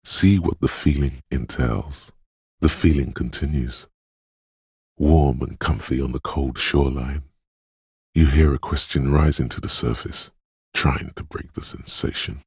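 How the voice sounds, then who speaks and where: A man voicing a video game's inner voice of intuition, dreamy, ominous and mysterious, narrating in a portentous, poetic way with a deep, gravelly, husky tone. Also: fairly even intonation, measured pace, a little mellow, no echo, clean studio sound, heavily acted. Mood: hushed, dreamy. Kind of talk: scripted second-person video game narration.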